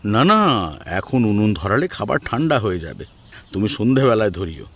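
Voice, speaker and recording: A man voicing a kindly older father-in-law in an audio story, soft, fatherly and reassuring. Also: lowish voice, sing-song, normal speed, exaggerated voice. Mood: gentle, reassuring. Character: gentle, kindly older father-in-law guiding his daughter-in-law. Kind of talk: Bengali audio story acting. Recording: music behind the voice.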